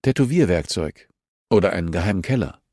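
A man's voice: deep voice, animated, brisk, darkish tone, no echo, clean studio sound. A man voicing a determined, insistent woman investigator in an audiobook, with a questioning, defiant manner.

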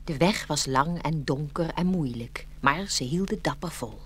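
A woman with a notably low, husky voice narrates a children's fairy tale. Her delivery is scripted and expressive, in a storyteller's style. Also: normal speed.

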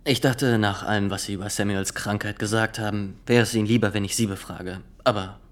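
Low-voiced, zealous young inspector, played by a man. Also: fairly even intonation, lightly acted. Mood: formal, probing. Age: young adult. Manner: formal, halting, insistent questioning. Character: zealous young state inspector, nineteen, formal and probing. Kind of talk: German radio drama acting.

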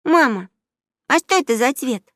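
A woman voicing lively, curious young children in a storybook, with an eager, childlike manner. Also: high voice, moderate pace, no echo, studio quality, voice pushed up. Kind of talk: children's audio storybook character voice.

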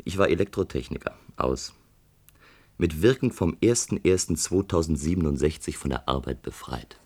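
A disillusioned man brooding over his drink in a radio drama. His voice is very low and rough with huskiness. He muses in a sardonic, ruminative way. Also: expressive, moderate pace, a little mellow, heavily acted. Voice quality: deep, husky. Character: brooding, disillusioned unemployed electrician drinking at a bar. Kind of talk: German science-fiction radio play acting.

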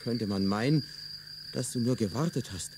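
Radio play: actor as a resentful French agent, mid-range voice, grumbling, chirping insects audible. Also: male voice, medium pitch, moderate pace, noisy background, lightly acted. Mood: bitter. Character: bitter, weary male French colonial agent.

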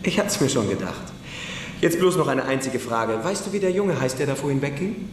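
A man playing a precocious older schoolboy in a radio play, calm and mysterious, deliberate and quietly superior as he persuades. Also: mid-range voice, lightly acted. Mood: knowing, reassuring. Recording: echoey room, faint noise.

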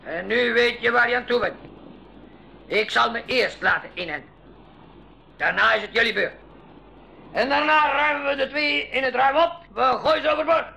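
An actor playing a bossy, blustering pirate captain who barks orders in a radio drama. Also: male voice, very high-pitched, normal speed, vocal fry, pauses often, sharp tone, exaggerated voice. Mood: commanding. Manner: barking orders, blustering, commanding. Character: bossy, bellowing male pirate captain, gullible and brash. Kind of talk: 1980s school radio drama acting. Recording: noisy 1980s radio drama recording.

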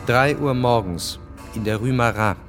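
A man's voice: medium pitch, measured pace. Male narrator for scripted radio drama, middle register, music bed.

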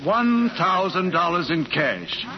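An actor playing a formal, genial university president in a 1940s radio comedy. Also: male voice, high voice, sing-song, slow pace, exaggerated voice. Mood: ceremonious. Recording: muffled old radio broadcast.

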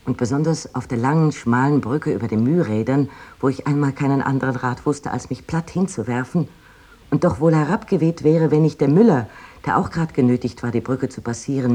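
An actor reciting a wry, vivid first-person letter in a radio drama, in a measured storytelling manner. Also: male voice, medium pitch, warm tone, slightly rough, natural voice.

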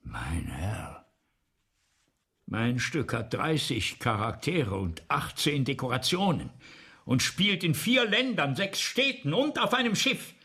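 A vain, self-pitying man of letters in a radio drama. His husky, creaky voice moves slowly and deliberately, with wide melodic swings. He sounds haughty, weary and full of scorn. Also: mid-range voice, sing-song, sharp tone, heavily acted. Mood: indignant. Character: vain, embittered male playwright scorning his audience. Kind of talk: theatrical German radio drama monologue.

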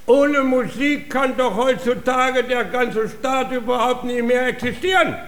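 An actor playing a worldly, money-minded music master, self-important and theatrical, in a German radio comedy. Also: male voice, very high voice, measured pace, fairly bright tone, echoey room. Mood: grandiose. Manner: pompous, theatrical.

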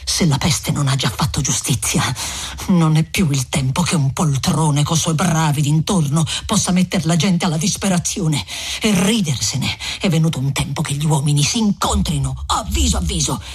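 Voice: mid-range voice, normal speed, husky. A reader voicing a hot-headed young man, impassioned and urgent, in a dramatic audiobook. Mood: blind anger. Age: young adult.

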